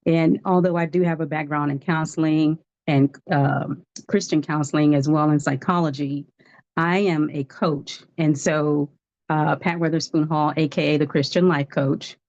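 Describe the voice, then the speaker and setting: Low-voiced woman in her fifties testifying. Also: fairly even intonation, moderate pace, a little mellow, lo-fi sound. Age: 50s. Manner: earnest, testifying, laughs at herself. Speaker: guest life coach. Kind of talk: livestream testimony.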